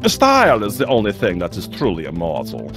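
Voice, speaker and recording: Campy German vampire, wildly swooping. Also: male voice, on the low side, huge pitch swings, a little fast. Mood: smug, grandiose. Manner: theatrical, haughty, giggly, stilted English. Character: vain, campy, petulant male European vampire villain. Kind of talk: animated comedy game voice acting. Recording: music behind the voice.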